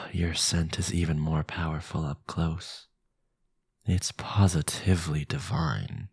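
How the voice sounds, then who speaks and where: Sinister vampire lord, possessive and silky, slow and husky, for intimate audio roleplay. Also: male voice, rumbling low, darkish tone, heavily acted. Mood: hungry, seductive. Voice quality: breathy, gravelly, often dropping to a whisper. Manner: silky, menacing, condescending, drawn-out. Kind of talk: intimate ASMR roleplay acting.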